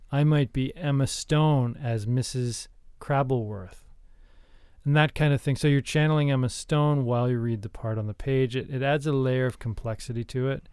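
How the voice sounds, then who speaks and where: A man co-hosting a podcast, talking about screenwriting in an earnest, halting, deadpan comedic way. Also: medium pitch, clear, resonant tone, no room sound, clean studio sound. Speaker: podcast co-host.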